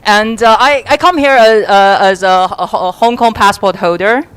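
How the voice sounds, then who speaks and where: Casting: woman, smooth clear voice, dry sound, earnest and halting conference questioner. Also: medium pitch, a bit bright, no echo. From mainland China. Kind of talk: spoken question from the floor.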